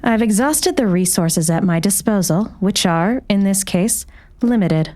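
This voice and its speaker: A woman playing a cool, composed doctor in an audiobook drama, speaking smoothly in a formal, measured, controlled way. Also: medium pitch, animated, warm tone, lightly acted. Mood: cool, resigned. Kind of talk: audiobook character acting.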